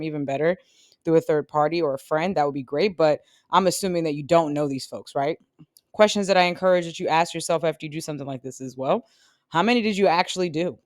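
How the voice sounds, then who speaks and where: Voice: medium pitch, no room sound. Encouraging sales coach, dry close sound. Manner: encouraging and conversational, with quick laughs and self-corrections. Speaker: tech sales career coach. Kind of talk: casual instructional talk to viewers.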